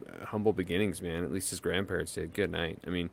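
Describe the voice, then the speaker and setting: A male podcast co-host talks in a loose, rambling way, full of fillers and restarts. His voice sits a bit low for a man. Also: steady tone, quick pace, vocal fry. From United States. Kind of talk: casual chat.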